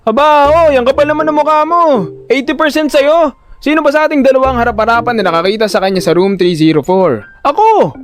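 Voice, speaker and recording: A man's voice: extremely high pitch, expressive, a little fast, soft background music. A man with a Filipino accent playing a panicky, talkative older brother who narrates his own story in an audio drama and gets easily flustered and exasperated. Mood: indignant. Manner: flustered, stammering when pressed, exasperated.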